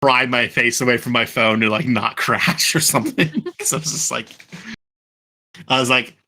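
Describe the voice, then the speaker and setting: Bright-toned man, rambling podcast host. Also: medium pitch, moderate pace, slight background noise. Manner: rambling, lots of fillers and restarts. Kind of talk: casual chat. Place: at home.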